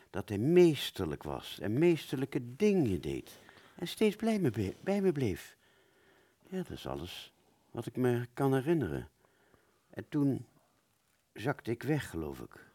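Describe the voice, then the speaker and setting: A man playing a wounded British fighter pilot, telling his story in a radio play with a husky voice and halting, stammering delivery. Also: mid-range voice, a little mellow, heavily acted. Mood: weary, remembering. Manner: halting, stammering, repeats words. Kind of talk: first-person dramatic narration in a radio play.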